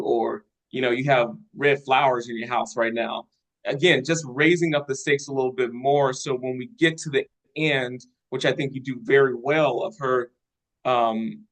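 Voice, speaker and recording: A man giving spoken critique in a measured, constructive way, organized point by point, as a participant in a script workshop. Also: mid-range voice, a bit bright, low-quality recording. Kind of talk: spoken critique at a table read.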